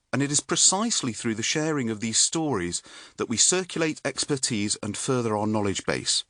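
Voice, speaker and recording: Audiobook narrator: British man, middle-aged, medium pitch with animated inflection, measured scripted delivery, very dry recording. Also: dry recording. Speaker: author and neurologist reading own audiobook.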